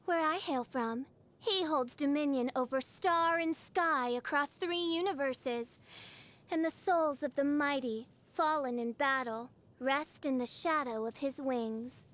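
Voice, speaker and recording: High-voiced grandiose teenage dark princess. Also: female voice, measured pace. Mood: haughty, solemn. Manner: pompous, archaic, theatrical diction. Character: theatrical teenage girl self-styled dark princess, grandiose, dramatic. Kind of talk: video game character voice lines.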